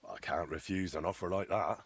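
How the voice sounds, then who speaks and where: Young adult hero, earnest, courteous, slightly low husky voice, close dry sound, game dialogue. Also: male voice, steady tone, brisk, no echo. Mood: polite. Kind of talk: video game dialogue acting.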